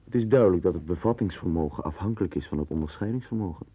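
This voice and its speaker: Casting: male actor as blunt, pompous lecturer, very low rough voice, vintage radio drama, phone-thin sound. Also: very fast, slight background noise, lightly acted. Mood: lecturing, scornful. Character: blunt, domineering friend, lecturing and faintly pompous. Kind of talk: 1960s Dutch radio play acting. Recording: tinny, band-limited 1960s radio recording.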